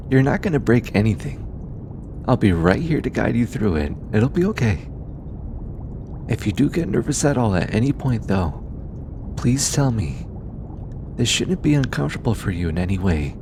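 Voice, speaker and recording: A man voicing a gentle, teasing alien scientist in an intimate audio roleplay, eager and given to chuckling. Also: medium pitch, sing-song, a little slow, pauses often, noisy background. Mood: reassuring, guiding. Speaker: voice actor.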